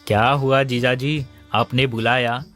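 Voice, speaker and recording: A man's voice: mid-range voice, steady tone, a little slow, fairly bright tone, faint music behind, lightly acted. A man voicing Giriraj, a coaxing middle-aged uncle. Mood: friendly. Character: caring middle-aged male uncle, persuasive. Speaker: voice actor. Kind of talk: cartoon story dubbing.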